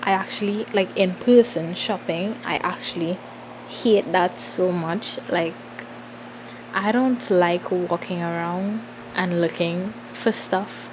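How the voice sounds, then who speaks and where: A woman's voice: lowish voice, steady tone, slow pace, noisy background. A woman in her twenties with a Trinidadian accent chats informally and playfully to followers in a casual Q&A, thinking aloud as she goes. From Trinidad and Tobago. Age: 20s. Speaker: vlogger.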